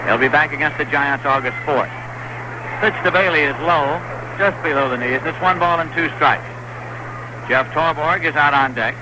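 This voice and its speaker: Male play-by-play announcer, vintage radio, slightly high voice, hissy old broadcast. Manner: brisk, rising excitement on big plays. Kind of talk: baseball radio commentary.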